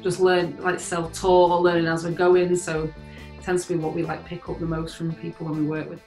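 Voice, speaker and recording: A woman's voice: lowish voice, monotone, a little fast, a little mellow. A woman, a guitarist chatting casually on a video call, full of fillers. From England. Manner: chatty, many fillers, rising on openings. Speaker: guitarist in a band, interviewee. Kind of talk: casual interview chat. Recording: echoey room with faint music.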